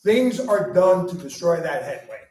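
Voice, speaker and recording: A man's voice: high-pitched, very animated, normal speed, a little mellow. A man who chairs a county party, speaking bluntly and emphatically at a panel discussion. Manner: blunt, emphatic, repeats "okay?". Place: club meeting room. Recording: echoey room, mic at a distance.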